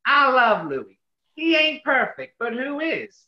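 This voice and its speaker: An actress playing a brassy New York character with an American accent, brash and animated, in 1940s radio comedy style. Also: female voice, sits high, takes it slowly, heavily acted. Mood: brassy, wistful. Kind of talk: 1940s radio play acting. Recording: roomy, muffled online recording.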